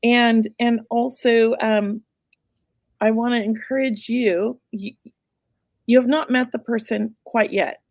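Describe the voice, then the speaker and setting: A woman hosting a live psychic reading, chatty and reassuring, going a bit hoarse as she loses her voice. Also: fairly high pitch, steady tone, takes it slowly, darkish tone, dry recording. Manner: chatty, reassuring, many filler words. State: losing her voice. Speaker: psychic medium host.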